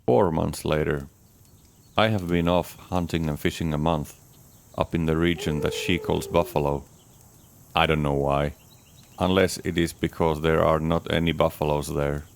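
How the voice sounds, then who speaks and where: A man giving a measured, deadpan first-person reading of a comic diary, speaking as its writer. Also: very deep voice, gravelly, a little hiss or hum. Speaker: audiobook reader. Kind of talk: dramatic reading of a diary as Adam.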